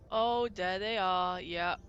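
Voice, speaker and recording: A woman's voice: mid-range voice, a bit bright, highs cut off. A woman with a cold, casually narrating her gameplay in a chatty, rambling way with frequent restarts. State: has a cold, voice a bit off. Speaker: gameplay commentator. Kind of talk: casual let's-play commentary.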